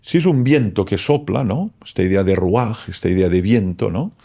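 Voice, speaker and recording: A man whose first language is Catalan speaks as a philosopher guest, reflective and explanatory. His voice sits low, with a mellow, dark tone and a gravelly fry. He keeps checking in with short questioning tags. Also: lively intonation, moderate pace. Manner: thoughtful, explanatory, keeps tagging "¿no?". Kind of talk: reflective interview talk.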